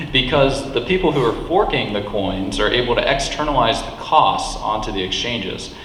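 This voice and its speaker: A man's voice: medium pitch, expressive, normal speed, fairly bright tone, a little hiss or hum. A man speaking on a panel at a live meetup, talking emphatically with many restarts. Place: meetup venue hall. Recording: echoey hall with long reverb.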